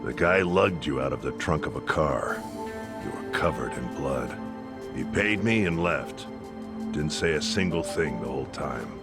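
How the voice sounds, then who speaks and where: A plain-spoken figure of the criminal underworld in a game cutscene. The voice is extremely low, the delivery colloquial and matter-of-fact, with music loud behind it. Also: male voice, lively intonation, unhurried. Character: plain-spoken male underworld insider explaining secret rules. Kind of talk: video game cutscene voice acting.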